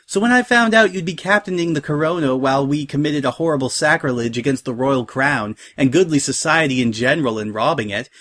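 A man's voice: fairly high pitch, fairly bright tone. A man voicing a smug, genteel female pony pirate captain in a storybook, airy, polite and wordy.

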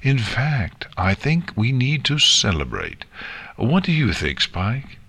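A man's voice: mid-range voice, very animated, slow, gravelly, sharp tone, breathy edge, voice pushed up, exaggerated voice. A man voicing a kindly, encouraging adult female pony in a storybook reading. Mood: pleased.

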